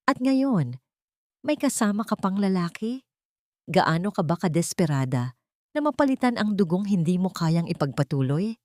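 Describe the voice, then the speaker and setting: A woman's voice: lowish voice, big pitch swings, moderate pace, darkish tone, no room sound, studio quality. A woman voicing a wealthy husband who is bitter, scornful and reproachful, in an audiobook drama. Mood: scornful, accusing. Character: bitter, accusing wealthy husband, adult man.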